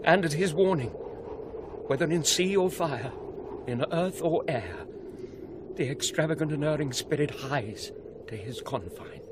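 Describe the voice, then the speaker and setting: A grave scholarly shakespeare gentleman, british, declaims slowly, noisy radio play sound. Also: fairly high pitch, lively intonation. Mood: solemn, thoughtful. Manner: declamatory, measured verse delivery. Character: grave scholarly gentleman confronting a ghost on night watch. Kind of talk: classical Shakespearean radio drama acting.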